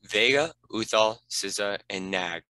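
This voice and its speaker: Male narrator for script directions, deep and crisp-edged, scripted delivery. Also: unhurried, pushed and bright, no echo, poor audio. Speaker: narrator reading stage directions.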